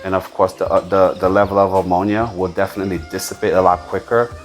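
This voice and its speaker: A man from the United States hosting a casual farm tour, explaining things in a halting way with repeated words. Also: low-pitched, little pitch movement, faint music behind. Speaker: farm owner and video host.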